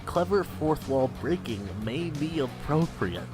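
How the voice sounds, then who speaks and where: A man's voice: on the high side, animated, unhurried, over music. A man playing a geeky game developer giving a tongue-in-cheek presentation in a nasal, whiny voice, in a voiced game. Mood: upbeat, mock-serious. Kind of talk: presentation, visual novel game voice acting.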